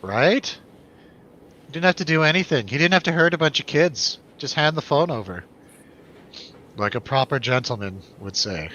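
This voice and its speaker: A man's voice: mid-range voice, lively intonation, moderate pace, pauses often, pushed and bright. A man hosting a casual film review show in a jokey, irreverent way. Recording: dull lo-fi, faint background noise.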